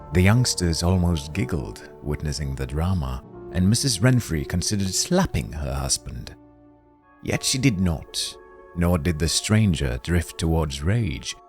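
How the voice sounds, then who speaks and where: Male narrator for spooky fiction: low, measured, highly animated delivery over music. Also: gravelly. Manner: deliberate, theatrical delivery. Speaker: story narrator. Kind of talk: dramatic scripted storytelling narration.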